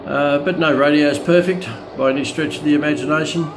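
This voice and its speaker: A man giving a casual, unscripted product review as a hobbyist, hesitant and often pausing mid-sentence, with radio audio playing behind him. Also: a little high, steady tone, roomy sound, background noise.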